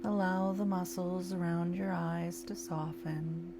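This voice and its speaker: A woman leading a guided meditation in a slow, soft, soothing way with long pauses. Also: on the low side, fairly even intonation, very noisy.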